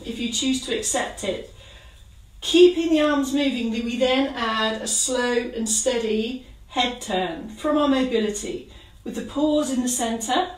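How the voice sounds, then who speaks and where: A woman leading a gentle exercise class, giving calm, encouraging step-by-step cues. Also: fairly high pitch, recorded from a distance. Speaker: exercise instructor. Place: kitchen. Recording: roomy sound from away from the mic.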